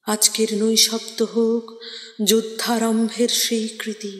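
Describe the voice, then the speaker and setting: A woman giving a dramatic poetry recitation in a theatrical, declamatory manner with deliberate pauses. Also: on the high side, monotone, faint music behind. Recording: echoey, long reverb on voice.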